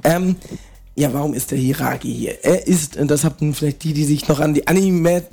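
A man's voice: a little high, a little fast, vocal fry, slight background noise. Man with a cold, chatting on a podcast. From northern Germany. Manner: relaxed, chatty, asks questions. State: has a cold. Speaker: podcast host. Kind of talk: casual podcast chat.

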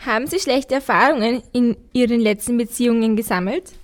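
A woman's voice: fairly high pitch, brisk. A young woman hosting a school radio interview, politely reading out her questions. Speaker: student radio host.

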